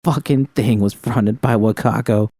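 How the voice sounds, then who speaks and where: A man's voice: fairly low pitch, a little slow, warm tone, no room sound, studio quality. A man voicing a panicked young female mercenary who stammers, swears and pleads through sobs in an audiobook story. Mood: shaken, sobbing. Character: young street mercenary woman, sobbing, panicked, desperate, foul-mouthed.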